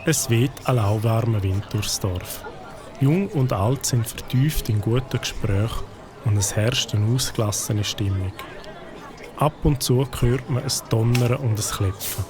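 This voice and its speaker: Swiss German male narrator, calm storybook read, music bed. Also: mid-range voice, measured pace, a crowd in the background.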